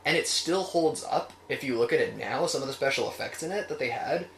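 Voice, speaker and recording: A man's voice: mid-range voice, fairly quick, fairly bright tone. A man co-hosting a review show, talking casually and opinionatedly in a group chat and swearing freely. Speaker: co-host of a film review show. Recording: roomy echo with faint noise.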